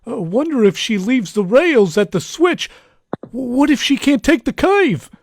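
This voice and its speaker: A man playing a jittery, slow-witted criminal henchman who stammers and hesitates, in 1940s radio serial style. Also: very high voice, sing-song, exaggerated voice. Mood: nervous.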